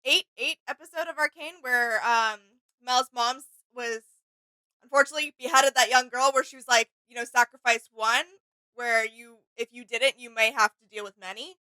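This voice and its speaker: Casting: female reaction co-host, fairly high crisp voice, informal, feeling her way through ideas. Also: fairly even intonation, normal speed, sharp tone, rough recording. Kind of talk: casual reaction chat.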